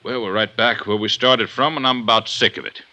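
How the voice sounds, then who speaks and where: Deep, forceful, weary police inspector. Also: male voice, steady tone, brisk. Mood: weary, irritated. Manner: blunt, brusque. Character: tired, gruff male police inspector, fed up. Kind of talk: 1950s radio detective drama. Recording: dull 1950s radio recording.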